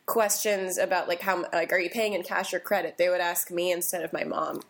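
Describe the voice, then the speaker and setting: A woman raised in Virginia, whose first language was Greek but who speaks with no accent, talking in a relaxed, reflective way with restarts and easy laughs. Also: lowish voice, fairly quick, gravelly. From Virginia, USA. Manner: reflective, frequent restarts and ums, laughs easily. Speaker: guest. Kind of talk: conversational interview.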